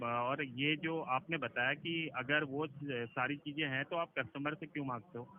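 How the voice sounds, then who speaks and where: A man's voice: a little high, a little hiss or hum. A man speaking as a call-centre team leader on a recorded phone call, formal, deferential and defensive. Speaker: loan recovery team leader. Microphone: telephone.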